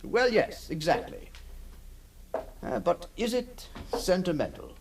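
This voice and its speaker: An actor playing a genteel middle-aged gentleman haunted by his past, musing in a refined, theatrical way in a radio drama. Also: high voice, big pitch swings, takes it slowly, gravelly, exaggerated voice. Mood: wistful, brooding. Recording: old radio recording with faint noise.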